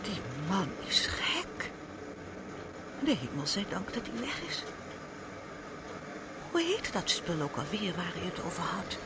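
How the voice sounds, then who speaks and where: A genteel, incredulous older lady, lively intonation. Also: unhurried, pauses often. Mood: rattled. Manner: hesitant, incredulous, questioning. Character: genteel older lady, curious yet shocked by a stranger. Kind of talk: radio drama acting. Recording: noisy recording with vehicle rumble. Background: train ride noise.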